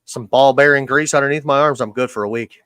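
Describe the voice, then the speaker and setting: A man in his early forties, mid-pitched, with an easygoing, jokey way of chatting with other fish keepers. The sound is dry and close, with little room sound. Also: fast, pushed and bright, smooth, no echo. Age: early 40s. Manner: joking, easygoing. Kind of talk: casual chat.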